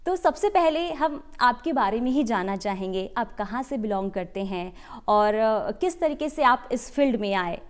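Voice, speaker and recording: A woman hosting a television interview, speaking in a measured, formal announcer style. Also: a little high, lively intonation, clean tone. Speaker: host.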